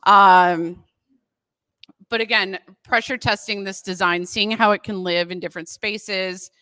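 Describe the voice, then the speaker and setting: A woman's voice: mid-range voice, fairly even intonation, a little slow, bright, forceful tone, clear, resonant tone, dry recording. A woman presenting a brand design on a live stream, casual with frequent ums.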